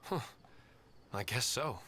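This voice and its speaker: A man's voice: mid-range voice, animated, slow pace, sharp tone, slight background noise. An actor playing a humble, wistful widowed father in a video game, polite and subdued. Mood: hesitant.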